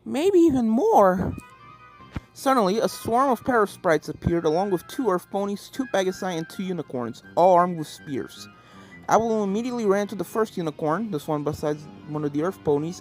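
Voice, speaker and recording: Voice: medium pitch, expressive, a little fast. A reader giving scripted narration of a fan fiction story. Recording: game music behind the voice.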